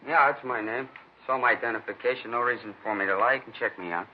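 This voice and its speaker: An actor playing a tired, jittery burglary suspect in a 1950s radio crime drama, uneasy and insistent. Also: male voice, mid-range voice, fast talker, close to an everyday voice. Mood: wearily cooperative. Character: tired, jittery, sickly male burglary suspect, evasive and pleading. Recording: muffled old radio recording, faint noise.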